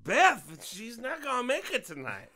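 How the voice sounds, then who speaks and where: A man's voice: very high voice, wildly swooping pitch, sharp tone, full character voice. An actor playing a folksy father in an audio drama, who acts like a jovial barfly to confront a predator and can turn menacing. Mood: insistent, folksy. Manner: folksy, drawling, mock-friendly, then slow and threatening.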